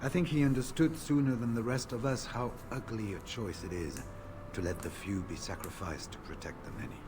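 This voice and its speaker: A man playing a weary, grave veteran warrior in a fantasy video game, speaking in a measured, solemn and wry way. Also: fairly low pitch, background noise, natural voice. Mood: wistful. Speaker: game character.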